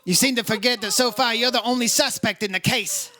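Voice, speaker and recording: A bright, forceful radio-play detective, acted by a man, pitched high for a male voice. He is insistent and commanding, firing off clipped questions and narrating his case in old-time detective style. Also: steady tone, brisk. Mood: pressing, firm. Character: brisk, insistent male insurance investigator and narrator.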